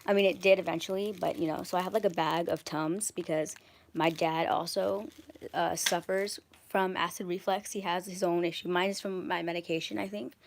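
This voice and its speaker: A young woman with a hoarse, raspy voice from a sore throat talks casually straight into a phone held at arm's length as she wanders through her house. She rambles with plenty of ums and likes, swears freely, and sits a little low for a woman. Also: steady tone, moderate pace, a little hiss or hum. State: hoarse from acid reflux. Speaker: vlogger. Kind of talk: casual vlog rant. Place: large home kitchen and rooms, indoors. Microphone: phone camera held at arm's length, walking around.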